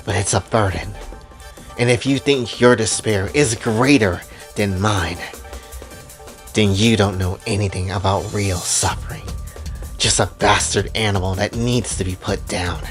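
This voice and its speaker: A bitter, scornful warrior woman , acted out by a male storyteller. The voice sinks low and threatening in a dramatic action-story style, with music behind. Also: fairly even intonation, unhurried, close to an everyday voice. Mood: contemptuous.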